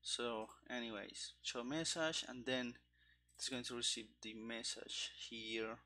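A man in his thirties talks himself through live coding at a slow, halting pace. His voice is bright and sharp, picked up on a headset mic. Keys clatter and click behind his muttered working-out. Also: medium pitch. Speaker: programmer streaming game development. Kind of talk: thinking aloud while live coding. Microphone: headset microphone. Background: keyboard typing and clicking.

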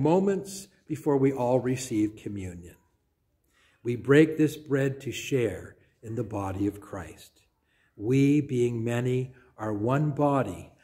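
Slow, measured older male preacher. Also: medium pitch. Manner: measured, deliberate preaching with soft trailing phrase endings. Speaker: pastor. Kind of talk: sermon. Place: small church sanctuary, indoors. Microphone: phone or camera at close range.

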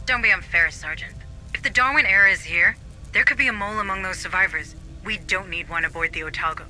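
A man's voice: sits high, fast talker, sharp tone, faint music behind, rough recording. A man playing a serious tech officer in a video game, briefing marines in a matter-of-fact way. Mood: serious, cautioning. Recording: faint background noise.

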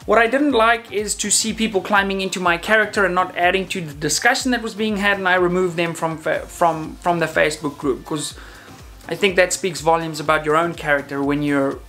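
A man in his thirties talking casually to camera as a channel host, in a conversational way with hesitant, self-qualifying pauses. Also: high voice, fairly even intonation, bright, forceful tone, soft background music. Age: 30s. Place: indoor room, talking to camera.

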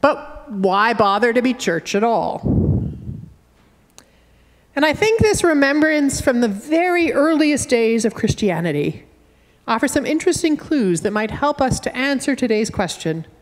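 A woman's voice: fairly high pitch, sing-song. Canadian woman preaching in a reverberant church. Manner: measured, earnest preaching with gentle humor. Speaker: minister. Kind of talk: sermon. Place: wood-panelled church sanctuary, at a pulpit. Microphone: fixed church camera at a distance.

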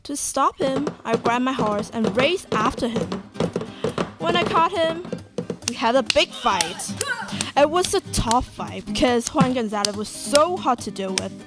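A woman's voice: on the high side, lively intonation, unhurried, loud music behind the voice. A woman playing a proud, self-satisfied sheriff telling of heroics in a live student radio play. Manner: boastful, storytelling.

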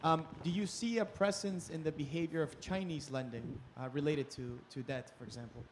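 A young man with a fairly high voice asks a question from the audience on a handheld mic. He feels his way tentatively through it, with faint room noise behind him. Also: fairly even intonation, normal speed. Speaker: audience member asking a question. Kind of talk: spontaneous question to a panel. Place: audience in indoor hall. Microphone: handheld microphone.

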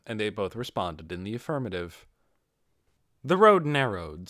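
A man's voice: mid-range voice, no echo, studio quality. A man narrating a fantasy audiobook from a written script.

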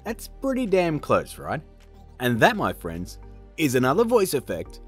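A man in his thirties hosts a software tutorial. He is chatty and self-deprecating, with hugely varied intonation over background music. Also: medium pitch, wildly swooping pitch, measured pace, husky. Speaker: host of tutorial videos.

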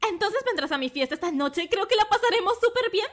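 Woman playing a very high-pitched bubbly schoolgirl. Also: lively intonation, fast talker. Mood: eager. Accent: Latin American Spanish. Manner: gushing, perky. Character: bubbly, eager posh teenage schoolgirl.

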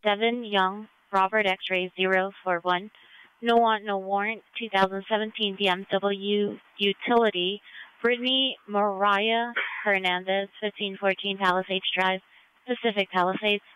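A woman's voice: mid-range voice, flat delivery, measured pace, fairly bright tone, smooth, no room sound, rough recording. A woman working as a police dispatcher, reading out record details over the radio.

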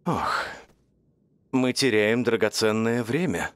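A man's voice: fairly low pitch, expressive, takes it slowly, no echo. A man playing a concerned, thoughtful adult leader of young pilots in a cartoon dub. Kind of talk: animated series dub.

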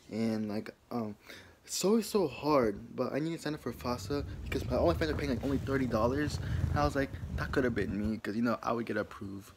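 A young man chatting informally and in a rambling way to a handheld camera for a daily vlog. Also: medium pitch. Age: young adult. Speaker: vlogger. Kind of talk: casual daily vlog chat. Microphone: phone or camera at arm's length.

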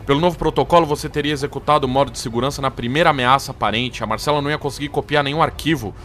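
A man's voice: mid-range voice, very fast, a bit bright, close to an everyday voice. A man playing a distraught, accusing programmer in an audio drama, agitated, stammering and sweary. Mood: bitter, accusing. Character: hot-tempered, accusing male programmer, distraught and cornered. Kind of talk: scripted audio drama acting.

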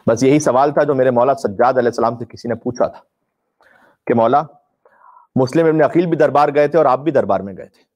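A man with a mid-pitched voice delivers an impassioned religious sermon. His delivery is emphatic and declamatory, shaped like a mournful storyteller's. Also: a little fast, darkish tone. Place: indoor room with draped religious banners, live stream.

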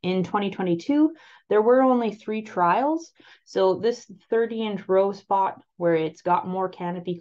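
A woman with a Canadian accent presenting on-farm crop trial results over a slide presentation, explaining them clearly and steadily. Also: on the low side, darkish tone. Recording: slightly muffled voice-over.

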